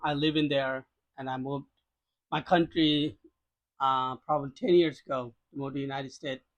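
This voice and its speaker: A man with a high voice and a Nepali accent talks as a podcast guest. He gives a personal account conversationally, in non-native phrasing, repeating words for emphasis. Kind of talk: conversational first-hand account.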